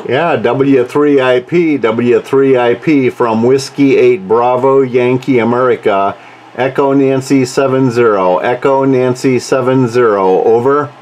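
A man's voice: mid-range voice, a little slow. A man working as an amateur radio operator, narrating his contacts indoors into a camcorder and repeating words and call signs. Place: indoors, room with radio computer station. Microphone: camcorder held or set near the desk. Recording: steady background noise, radio hiss. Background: radio noise.

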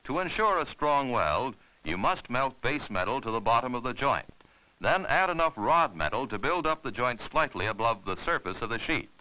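A man's voice: medium pitch, very animated, normal speed, sharp tone, poor audio. A man narrating an instructional film in a formal, scripted, step-by-step way.

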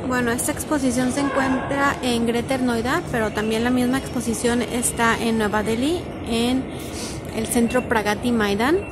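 A woman's voice: fairly high pitch, steady tone, moderate pace, heavy background noise. A Mexican woman in her thirties, vlogging and talking straight to her viewers about business, filmed on a handheld phone. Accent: Mexican Spanish. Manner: chatty, explanatory, addresses viewers directly. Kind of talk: casual travel vlog narration. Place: parked car, then indoor expo hall. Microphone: phone camera at arm's length.

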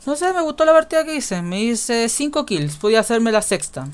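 A young man with a Chilean accent, giving casual gameplay commentary in a quiet mutter that repeats words in runs. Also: very high voice, very animated, a little fast, clear, resonant tone. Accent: Chilean Spanish. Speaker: gaming YouTuber.

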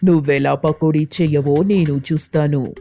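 Woman as a flat-toned, mellow cartoon husband crow. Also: deep voice, moderate pace, faint music behind. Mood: mildly reproachful. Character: affectionate, nagging husband crow craving hot fritters. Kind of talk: children's cartoon story dialogue.

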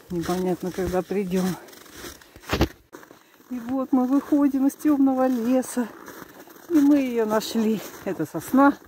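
A woman narrating a walk casually in short, unhurried, musing phrases, recorded outdoors on a handheld device. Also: mid-range voice, warm tone, slight background noise. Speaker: hiking vlogger. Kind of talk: casual narration while walking. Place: outdoors on a snowy forest trail.

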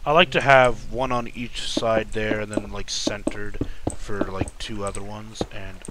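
A man with a deep voice giving casual, rambling commentary while playing a game, speaking softly and correcting himself as he goes. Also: fairly even intonation, measured pace, a little hiss or hum. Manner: soft-spoken, rambling, self-correcting. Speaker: let's-play gamer.

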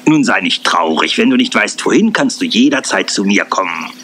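A man voicing a kindly, fatherly older male owl in a dubbed children's cartoon, warm and avuncular. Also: lowish voice, sing-song, normal speed, noisy background.

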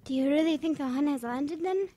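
A woman's voice: high voice, fairly quick, mellow, natural voice. A woman acting a nervous but curious young wartime boy in an audio drama. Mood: anxious, curious.

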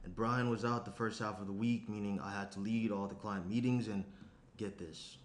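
A male actor plays a self-absorbed young businessman in a relationship drama. His voice sits low, casual and a little grumbly. It is recorded in a roomy space with noticeable noise. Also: fairly even intonation, normal speed, lightly acted. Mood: grumbling. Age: young adult. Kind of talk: screenplay table read. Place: small room at a table. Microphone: shared tabletop microphone. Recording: roomy indoor sound, clear background noise.

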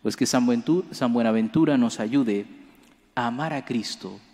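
A young male priest gives a homily from a lectern microphone, earnest and measured, lifting his voice on key phrases. His pitch sits in the middle for a man. The church adds a long reverberant tail. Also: mellow. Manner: earnest, measured preaching with rising emphasis. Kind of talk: homily at Mass. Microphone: lectern gooseneck mic.

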